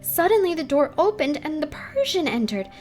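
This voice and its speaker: Woman voicing an excitable, high-pitched young ballet girl. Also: moderate pace, soft background music. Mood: breathless gossip. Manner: breathless, insistent, gossipy.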